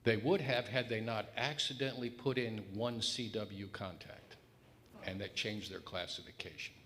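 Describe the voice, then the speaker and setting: A man's voice: fairly even intonation, moderate pace, a bit bright. A man speaking informally from the audience, asking questions and commenting at a meeting. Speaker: audience member in discussion. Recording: echoing hall, noisy with low rumble.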